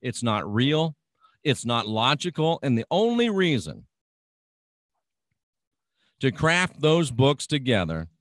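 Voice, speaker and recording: A man's voice: mid-range voice, no echo, studio quality. An American man hosting a radio show, delivering an insistent, preachy monologue and stacking up repeated phrases for emphasis.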